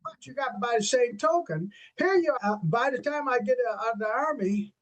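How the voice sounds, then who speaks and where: A man's voice: very high voice, normal speed, lo-fi sound. An older man from western New York, a jazz saxophonist, telling stories in an interview and acting out the dialogue. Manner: animated, acts out quoted dialogue, peppers speech with "man". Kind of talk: storytelling in an interview.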